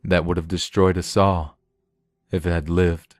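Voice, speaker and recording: A man voicing an apologetic, accommodating official in a story, soothing and measured. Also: low voice, little pitch movement, no echo. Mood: sober.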